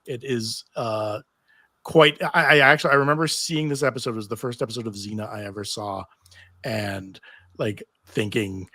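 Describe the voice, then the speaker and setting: A man with a bright, mid-pitched voice, recorded dry. He talks casually like a keen fan, with a halting delivery. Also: steady tone, no room sound. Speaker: panel guest.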